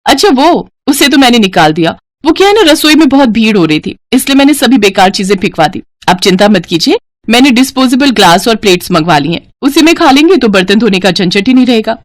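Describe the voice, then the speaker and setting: Woman acting a breezy, chatty young sister-in-law, higher-pitched. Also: sing-song, fast, hardly any pauses, dry recording, studio quality. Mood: casually dismissive.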